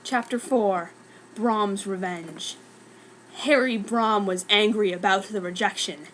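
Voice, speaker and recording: A woman's voice: mid-range voice, slow pace, a bit bright, slight background noise, poor audio. A woman giving a dramatic, mock-serious reading of a scripted story as narrator. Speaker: reader of a fan story.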